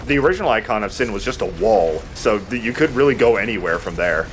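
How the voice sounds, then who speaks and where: Male let's player, lowish voice, casual and jokey with some swearing, faint noise behind him. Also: animated, quick pace. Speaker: let's play host playing the game. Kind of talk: casual gameplay commentary. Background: video game sound.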